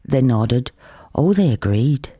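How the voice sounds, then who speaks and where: A Northern Irish narrator performs literary fiction with wry, colloquial flair. The pitch sits mid-range and the sound is dry, with very little room. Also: animated, takes it slowly, mellow, husky, no room sound. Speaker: author reading own novel. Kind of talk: dramatic literary audiobook narration.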